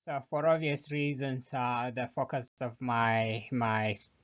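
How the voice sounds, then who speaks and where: A man with an East African accent giving an informal slide presentation as a researcher, speaking haltingly with frequent hesitations and restarts. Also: mid-range voice, flat delivery. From South Sudan, grew up in Ethiopia and Kenya. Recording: dull, muffled lo-fi audio.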